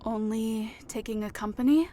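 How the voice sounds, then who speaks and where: A man's voice: high-pitched, moderate pace, a little hiss or hum, pitched above the performer's own voice, close to an everyday voice. A man voicing a playful, teasing young woman companion in a raised, lighter tone. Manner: lively, teasing.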